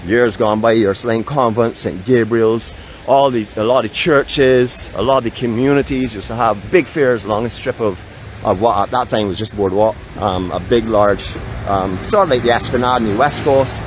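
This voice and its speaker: A man's voice: medium pitch, moderate pace. A Barbadian man hosting a live walking-tour vlog, chatting and joking about himself as he walks outdoors by a beach boardwalk, phone in hand. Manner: chatty, joking self-mockery. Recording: outdoor street noise behind.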